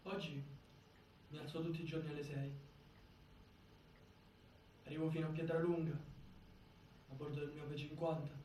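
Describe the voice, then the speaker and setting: A man playing a high school student who recounts his daily routine plainly in a theatre monologue. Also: flat delivery, moderate pace, pauses often, mellow, natural voice. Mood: matter-of-fact. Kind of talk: scripted first-person theatre monologue. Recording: echoey, distant, faint noise.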